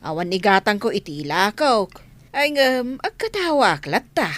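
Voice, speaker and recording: A woman's voice: medium pitch, sing-song, normal speed, fairly bright tone. A woman playing a carefree, talkative and sassy villager in a comedy skit.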